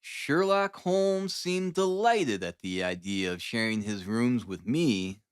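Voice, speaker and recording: A man reading a classic novel as an audiobook narrator, in expressive scripted narration. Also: mid-range voice, takes it slowly, clean tone, dry recording, studio quality.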